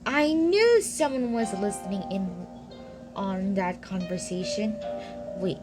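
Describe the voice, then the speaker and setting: A woman's voice: medium pitch, animated, slow. A woman giving casual gameplay commentary as a streamer, reacting in short remarks and repeating herself. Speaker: gaming streamer. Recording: loud game music behind voice. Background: video game music.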